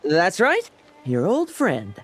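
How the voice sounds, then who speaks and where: A woman's voice: fairly low pitch, wildly swooping pitch, slow, soft background music, heavily acted. A woman voicing a playful, sly young knight in a video game, with a wry, teasing way of talking. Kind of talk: English video game voice acting.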